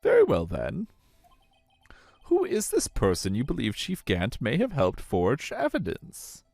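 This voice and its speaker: A smug, imposing police chief from a fan-dubbed game, condescending and theatrical, his voice rolling up and down with mock delight. A man plays him, with a gravelly edge. Quiet game music plays underneath. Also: medium pitch, huge pitch swings, measured pace, no room sound, pitched above the performer's own voice, heavily acted.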